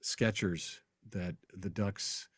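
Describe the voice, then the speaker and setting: A man with a fairly low voice talks as an interview guest and arena announcer. He tells anecdotes in a casual, conversational style. The sound is dry, with no room echo. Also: fairly even intonation, very slow, a bit bright.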